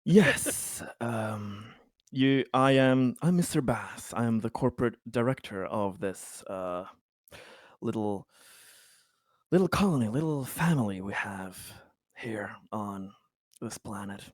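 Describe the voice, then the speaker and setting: A man voicing a politely formal male corporate director of a colony in a tabletop game, measured and halting. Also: fairly low pitch, expressive, no echo, clean studio sound. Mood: polite, guarded.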